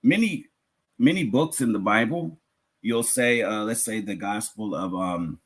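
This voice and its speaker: Male preacher, Islamic lecture, husky voice, lively swooping intonation, explanatory, emphatic repetition, rhetorical asides. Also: on the low side, a little slow. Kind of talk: religious lecture, teaching.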